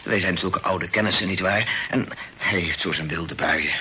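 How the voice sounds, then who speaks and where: An actor in a period radio play, voicing a humble, talkative old poet who is effusive, hesitant and deferential. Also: male voice, deep voice, normal speed, pushed and bright. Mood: chatty, fond. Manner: effusive, hesitant, sighing, repeats himself. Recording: noisy old radio drama recording.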